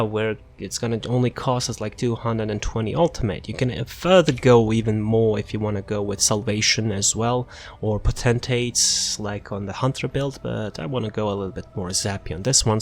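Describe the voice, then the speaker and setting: A man casually explaining a video game build as he plays, improvising and correcting himself as he goes. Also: lowish voice. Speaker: gamer presenting a character build guide. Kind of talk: casual gameplay guide commentary.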